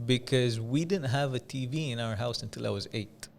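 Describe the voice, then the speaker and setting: A man from Dubai speaking as an interview guest, telling stories in a measured, conversational way with pauses and repeated phrases for emphasis. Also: mid-range voice, gravelly. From Dubai, UAE. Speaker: podcast guest, business coach.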